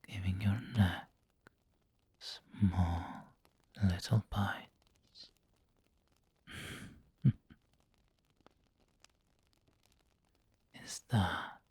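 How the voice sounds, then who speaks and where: A man playing a refined, doting vampire lord in an ASMR roleplay, whispering gently and intimately with seductive, protective care. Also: deep voice, fairly even intonation, dry recording, full character voice. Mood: seductive, teasing. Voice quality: breathy, whispery. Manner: slow, gentle, intimate coaxing.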